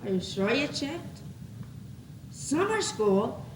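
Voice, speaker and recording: A worried, mixed-up schoolkid in a stage play, acted by a teenage girl. The voice is high and very slow. It comes from an echoey old school recording. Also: very animated, heavily acted. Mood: worried, wistful. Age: young teenager. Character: worried, mixed-up schoolkid regretting a big change. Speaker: student actor. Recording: echoey noisy 1980s school TV tape.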